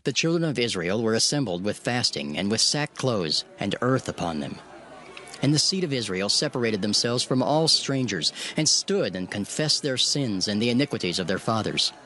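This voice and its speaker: A man narrating a dramatized scripture reading in a formal, measured storytelling manner. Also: mid-range voice, lively intonation, a bit bright.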